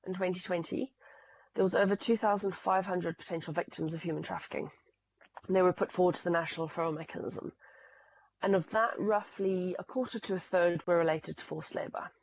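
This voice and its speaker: A woman's voice: lowish voice, darkish tone. Zimbabwean lady on a podcast, earnest, lots of facts, restarts a lot, kinda muffled sound. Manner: earnest, fact-heavy, frequent restarts. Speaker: podcast guest, fraud specialist. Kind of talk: explanatory interview talk.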